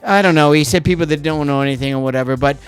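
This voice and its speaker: A man's voice: a little high, smooth, faint music behind. A middle-aged man with a Canadian accent hosting a podcast, chatting casually and rambling off on tangents. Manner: rambling, digressive, quick to go off on tangents. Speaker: podcast host. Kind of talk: casual chat.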